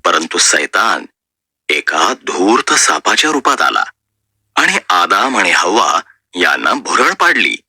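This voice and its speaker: Slow, bright-voiced male storyteller. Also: sits low, very animated, breathy edge. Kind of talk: scripted Bible story narration.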